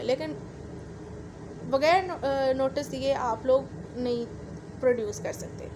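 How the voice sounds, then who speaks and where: Female law lecturer, Pakistani accent, high voice, didactic and repetitive, mixing in English terms, clearly noisy recording. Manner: didactic, repeats and rephrases points.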